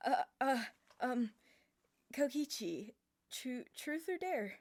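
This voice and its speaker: A woman's voice: high voice, lively intonation, takes it slowly, full character voice. A woman voicing a shy, nervous teenage boy in a fan fiction reading, stammering and hesitant. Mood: timid.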